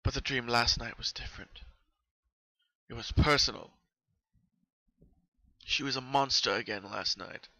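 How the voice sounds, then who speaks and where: A man's voice: medium pitch, a little slow, pauses often, bright, forceful tone, dry recording, low-quality recording. A man narrating a horror story as a solemn, dramatic reading.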